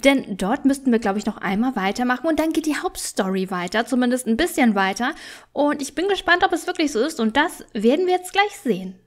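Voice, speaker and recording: A woman's voice: sits high, expressive, brisk. A woman gaming YouTuber giving casual, chatty let's play commentary, thinking aloud as she plays. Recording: music behind the voice.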